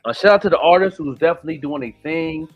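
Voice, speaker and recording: A man's voice: on the high side, fast talker. Male host, late 40s, loose rambling chat full of "you know what I'm saying", muffled lo-fi recording with faint music under it. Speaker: podcast host. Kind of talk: casual chat.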